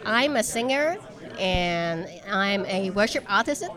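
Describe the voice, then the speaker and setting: A woman who speaks haltingly, pausing often to find her words. Her pitch is mid-range, and a chattering crowd is clearly heard behind her. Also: lively intonation. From Hong Kong. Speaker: seminary teacher.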